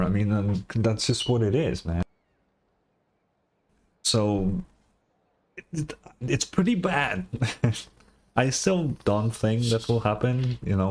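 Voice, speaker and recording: A man giving casual, rambling, informal game reaction commentary with some swearing. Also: fairly low pitch. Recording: game music faintly behind the voice. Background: game music and dialogue.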